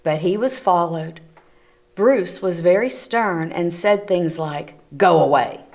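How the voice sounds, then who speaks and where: A woman reads a children's picture book aloud at an unhurried, slow pace. Her voice sits fairly low, and she gently stops to explain the pictures to young listeners. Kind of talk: read-aloud storytelling for children. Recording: community TV recording.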